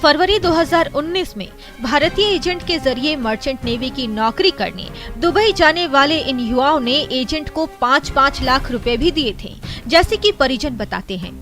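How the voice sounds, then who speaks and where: A woman reading a scripted TV news report in a measured, formal way. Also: high-pitched. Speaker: news voice-over narrator. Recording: voice-over with loud music bed.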